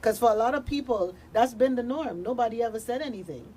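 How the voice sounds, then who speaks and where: Radio panelist: female, somewhat high-pitched, earnest conversational delivery, a little noise behind. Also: expressive, fast talker, dark tone. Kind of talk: informal, earnest discussion. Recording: faint background noise.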